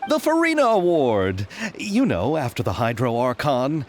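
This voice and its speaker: A man's voice: mid-range voice, sing-song, moderate pace, a little hiss or hum, close to an everyday voice. An actor voicing a polite, eager young male film producer in a game, courteous and wordy, with nervous little laughs. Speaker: game character. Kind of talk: video game voice acting. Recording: game audio with soft music.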